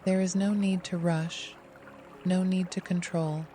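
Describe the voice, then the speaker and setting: A meditation guide giving scripted, gentle, soothing instruction in an unhurried way. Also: mid-range voice, flat delivery, soft background music.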